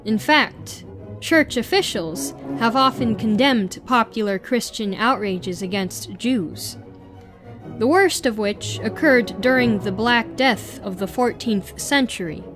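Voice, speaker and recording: A woman reading an article aloud in a measured, deliberate way with pauses. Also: fairly high pitch, over music. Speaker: reader.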